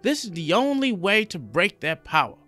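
A man's voice: sits high, very animated, moderate pace, sharp tone, soft background music, pitched above the performer's own voice, heavily acted. A man voicing a worried village rabbi in a storybook reading, in a raised, earnest voice. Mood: warning.

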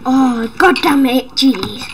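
A woman's voice: sits high, slow, crowd noise. A woman hosting her own gaming videos and losing her voice, rambling through casual gameplay commentary with sudden startled exclamations. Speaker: gaming channel host.

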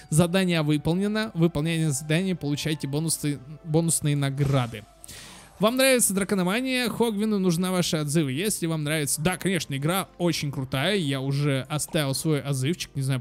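A young man giving casual let's play commentary for children, chatty, thinking aloud and repeating words. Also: fairly high pitch. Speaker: gaming channel host. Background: game music.

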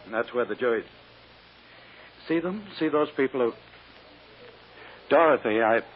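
An actor playing a controlling prosecutor who talks down to a child, smooth and insistent, in a radio drama. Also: male voice, medium pitch, measured pace, lightly acted. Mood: coaxing. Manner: patronizing, insistent. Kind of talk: 1950s radio drama acting. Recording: dull noisy old radio recording.

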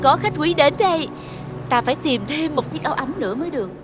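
A woman voicing a caring, composed ice enchantress with motherly authority in an animated fairy tale. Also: high-pitched, quick pace, music in the background, close to an everyday voice. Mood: welcoming.

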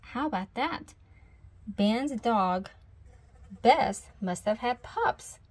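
A woman's voice: fairly high pitch, expressive, drawn-out words, darkish tone, full character voice. Storybook boy bear cub, read by a woman: eager, keen, persuasive.

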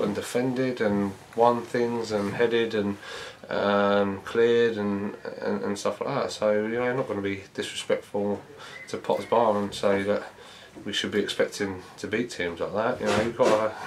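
A man in his thirties speaks as a football manager after a match, reflective and measured with frequent fillers. His voice sits very low and stays flat in pitch. Faint room ambience hangs behind him in a small indoor press room. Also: slight background noise, poor audio. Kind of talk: post-match interview.

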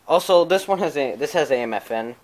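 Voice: medium pitch, expressive, fairly quick, slight background noise. A person hosting a home video in a casual show-and-tell style, recorded on a handheld camera in a small room. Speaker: amateur video host showing a radio collection. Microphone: handheld camera close to the speaker.

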